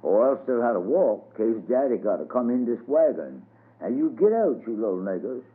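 A man's voice: medium pitch, very animated, moderate pace, pitched above the performer's own voice. A man voicing an older woman servant who runs things firmly, on a tinny radio broadcast. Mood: sharp. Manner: firm, bossy dialect speech. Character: elderly woman servant, commanding and firm. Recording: tinny phone-like radio sound.

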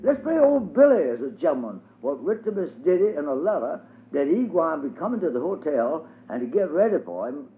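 A man voicing an excitable girl who bursts out with ideas. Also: fairly high pitch, fairly quick, hardly any pauses, voice pushed up. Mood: excited. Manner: excited exclamations. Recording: tinny phone-like audio, faint hiss.

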